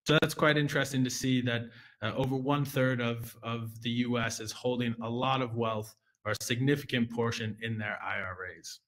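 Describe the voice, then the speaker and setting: A young American man talks through a finance webinar from his office webcam. His pitch sits at an ordinary middle for a man. He speaks conversationally, with frequent fillers and small restarts. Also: steady tone, normal speed, few pauses, fairly bright tone, rough recording. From United States. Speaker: junior relationship manager. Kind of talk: webinar presentation.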